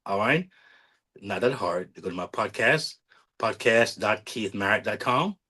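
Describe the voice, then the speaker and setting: A man's voice: fairly low pitch, expressive, unhurried, bright, forceful tone, husky. A man in his forties hosting his own podcast in a casual motivational monologue, earnestly coaching listeners and repeatedly checking in with "all right?". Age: 40s. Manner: repetitive, keeps asking "all right?"; earnest, self-promoting coach. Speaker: podcast host.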